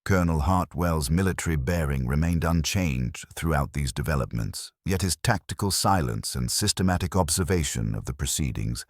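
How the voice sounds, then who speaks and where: A man with an extremely low, deep voice narrates a mystery story. His delivery is formal and measured, yet his pitch moves in a lively way. The sound is dry and close, with no room around it. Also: no echo. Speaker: audiobook narrator.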